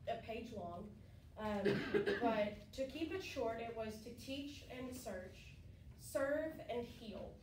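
A woman's voice: fairly high pitch, a little mellow. Young woman speaking from across an echoey classroom. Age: young adult. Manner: hesitant, many ums and restarts. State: slightly sick, voice lower than usual. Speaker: student giving a class speech. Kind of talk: prepared class presentation. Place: classroom with conference tables, indoors. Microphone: far camera at the back of the room. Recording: roomy, distant camera audio with background hiss.

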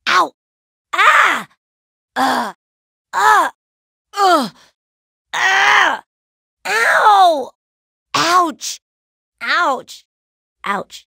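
A playful young gem warrior woman for a cartoon video game. Her voice is high and bright, swinging widely in pitch. She is brash yet laid-back, tossing out cheeky slang and exclamations in a dry, close recording. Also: vocal fry, dry recording, exaggerated voice. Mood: hurt. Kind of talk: cartoon video game voice acting, short barks.

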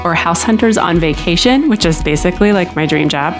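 A woman's voice: lowish voice, expressive, brisk, music in the background. A woman co-hosting a design podcast, reading a guest introduction with a chatty aside. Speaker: podcast co-host. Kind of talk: scripted intro.

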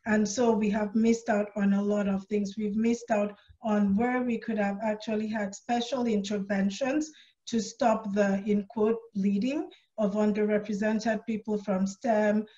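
A woman's voice: medium pitch, little pitch movement, unhurried, mellow. Woman, Nigerian British accent, earnest panelist, muffled video call sound. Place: small room at home, indoors. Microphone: video call, laptop camera. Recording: dull lo-fi video call audio.

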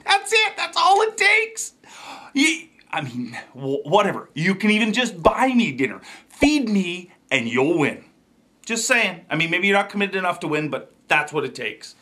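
A man presents fishing news as a comedy host, with a bright, forceful voice and highly animated pitch. He exaggerates his delivery for laughs, leaning on emphatic pauses and tossing in jokey asides. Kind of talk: comedic scripted news commentary to camera.

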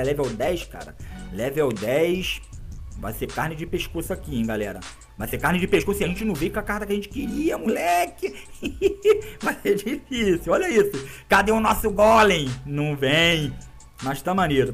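A man, congested with a cold and sniffling, giving excitable, slang-filled live gameplay commentary with frequent laughs, recorded in a small indoor room. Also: high voice. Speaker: gaming YouTuber. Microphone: webcam framing, headphones around neck.

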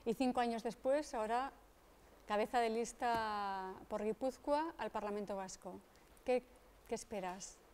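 A woman's voice: fairly high pitch, unhurried, darkish tone. Interviewing woman, echoey studio. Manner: short encouraging murmurs, friendly questions. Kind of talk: interview questions. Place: dark studio set, seated in armchair.

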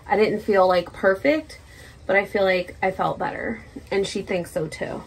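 Creaky-voiced woman chatting casually. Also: medium pitch, normal speed, rough recording. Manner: rambling, swears freely. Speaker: vlogger. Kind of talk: casual chat, personal vlog. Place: small indoor room at home.

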